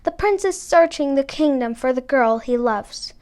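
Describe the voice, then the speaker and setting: A woman voicing a selfish, excitable young stepsister from a fairy tale, haughty and scornful. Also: sits high, moderate pace. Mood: excited. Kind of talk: storybook character voice.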